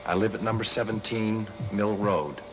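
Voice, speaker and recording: A man's voice: fairly low pitch, normal speed, noisy background, natural voice. An actor playing a bitter, wisecracking man in his forties who is fed up with his life, in a 1970s TV movie. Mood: flat, repetitive. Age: 40s. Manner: wisecracking, sarcastic, exasperated. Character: bitter, sardonic middle-aged man in therapy.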